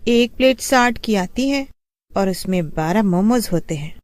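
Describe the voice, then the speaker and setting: A woman voicing a mysterious female street food seller in an animated horror story, polite, businesslike and obliging. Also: mid-range voice, a little slow, no echo, lightly acted.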